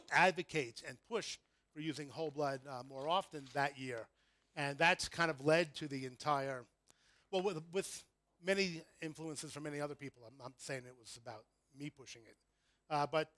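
Middle-aged American man lecturing, higher-pitched voice. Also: a bit bright, no room sound. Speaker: conference speaker, critical care physician. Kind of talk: conference lecture. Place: conference room before an audience.

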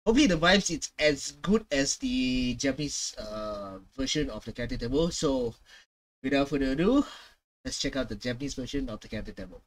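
A man's voice: mid-range voice, animated, fairly quick. A young man giving casual, emphatic and slangy reaction commentary as a video host. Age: young adult. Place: small room at home.

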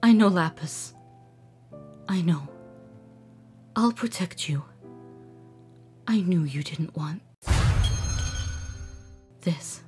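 A woman acts a gentle, protective mother figure in a cartoon. The voice is hushed and soothing, lowish and unhurried, over soft background music. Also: lively intonation. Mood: tender. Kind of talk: cartoon comic dub.

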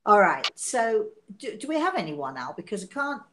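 A woman in her sixties, hoarse and coughing from illness, chatting and laughing on a live stream from her living room, talking into a tablet camera. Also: medium pitch, very animated, moderate pace, noisy background. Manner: chatty, laughing, self-mocking. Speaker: psychic medium hosting a live show. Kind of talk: casual live-stream chat. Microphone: device camera at arm's length.

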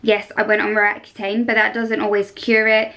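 A woman's voice: mid-range voice, monotone, moderate pace, sharp tone. A young woman chatting enthusiastically and emphatically to camera in a casual skincare review style, as a beauty vlogger. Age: young adult. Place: small furnished bedroom, indoors.

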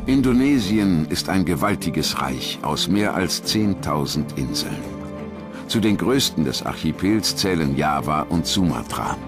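Deep-voiced male documentary narrator over music. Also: very animated. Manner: grave, measured storytelling. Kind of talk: dramatic scripted documentary narration. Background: background music.

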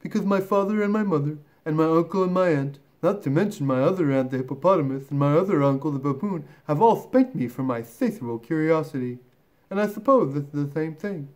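Storybook young elephant, male: high, breathless, earnest, impeccably polite and curious; performed by a man. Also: animated, brisk, darkish tone, close to an everyday voice. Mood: polite, puzzled.

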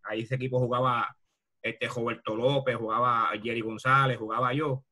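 Puerto rican guy, ex ballplayer on a video call, sharp bright voice, telling old stories casually. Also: medium pitch, little pitch movement, normal speed. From Bayamón, Puerto Rico. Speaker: retired baseball player, interview guest. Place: video call from a room at home.

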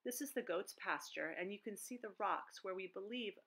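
A middle-aged woman author presenting to an online audience in a measured, storytelling manner. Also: medium pitch, fairly bright tone. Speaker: children's book author.

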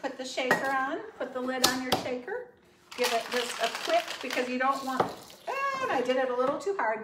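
A woman's voice: a little high, pushed and bright, a little hiss or hum. A woman in a home kitchen, chatting upbeat to followers as she demonstrates cooking, with frequent ums. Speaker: cooking show host and sales consultant. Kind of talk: casual demo talk to followers. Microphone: far camera. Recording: echoey kitchen, mic at a distance.

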